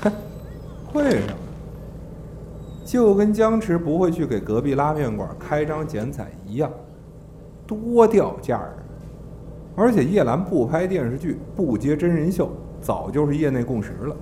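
An actor playing a brisk, teasing talent manager in a Mandarin audio drama, chatty and exasperated in his banter. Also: fairly high pitch, very animated, background noise. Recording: music behind the voice.

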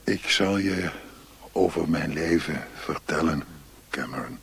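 A man's voice: rumbling low, husky, full character voice. An actor playing an old exiled Chinese man, sly and melancholy, in a radio play. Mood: reflective. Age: older. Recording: noisy archive radio play recording.

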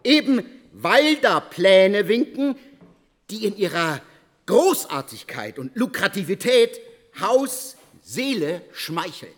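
Higher-pitched male narrator in long reverb. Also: sing-song, takes it slowly. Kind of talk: dramatic scripted radio narration.

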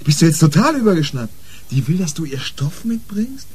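An actor in a radio crime drama playing an uneasy, skeptical rookie detective, colloquial and agitated, with a husky voice. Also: male voice, on the high side, big pitch swings, normal speed, a little mellow, exaggerated voice. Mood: alarmed, uneasy.

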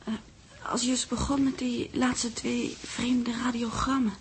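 An actress playing an anxious, deferential secretary in a 1960s radio drama, speaking in a hushed, hesitant way. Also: female voice, fairly high pitch, level tone, a little slow. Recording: noisy 1960s radio recording.

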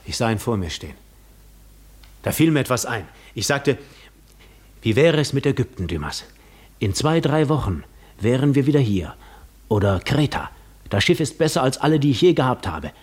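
A man's voice: mid-range voice, very animated, moderate pace, pauses often, a little mellow, husky. An actor playing a pensive seafaring man who tells his own story in a 1950s radio drama. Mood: reflective.

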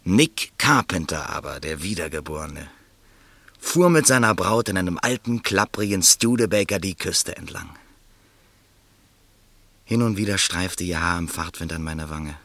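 Tiefe Stimme eines Erzählers, trocken aufgenommen. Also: male voice, low-pitched, a little slow, dry recording. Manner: calm, reflective first-person telling. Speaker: narrator. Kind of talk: radio play narration.

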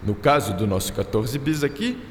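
A man's voice: mid-range voice, sing-song, moderate pace, slight background noise, lightly acted. A man playing a courteous, proud Brazilian inventor-aviator in a radio drama, explaining science theatrically with French words sprinkled in. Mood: enthusiastic, explaining. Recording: roomy reverberant sound with music behind.

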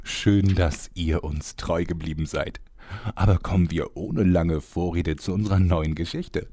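A man's voice: deep voice, fairly even intonation, measured pace, warm tone, no echo, studio quality. An actor playing a vain, fed-up male narrator in a comedy audio play, pompous and theatrical. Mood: solemn storytelling. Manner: theatrical, pompous, self-important.

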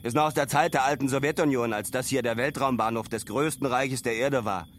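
A man's voice: medium pitch, fairly even intonation, fairly quick, fairly bright tone, a little hiss or hum, close to an everyday voice. An actor playing a reflective male traveller, telling his story colloquially and musingly in a radio play monologue.

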